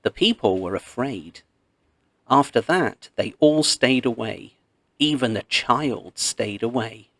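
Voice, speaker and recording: A man narrates a storybook myth in a medium-pitched voice. He takes his time, shaping phrases expressively and leaving pauses. The sound is very dry, with no room around it. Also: lively intonation, vocal fry, a bit bright, dry recording. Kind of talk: scripted read-aloud storytelling of a myth.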